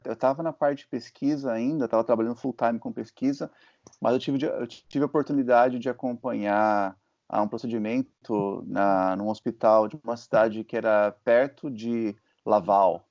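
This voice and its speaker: A Brazilian man hosting an interview, telling stories in a relaxed way and checking in with his listener as he goes. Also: medium pitch, normal speed. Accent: Brazilian Portuguese. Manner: conversational storytelling, checks in with "né?" and "certo?". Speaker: host and physician. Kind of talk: casual interview chat. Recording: muffled lo-fi audio.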